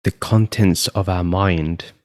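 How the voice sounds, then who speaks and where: A man guiding a meditation with calm, measured spiritual instruction and deliberate pauses. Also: deep voice, no room sound, clean studio sound. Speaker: meditation guide, podcast host.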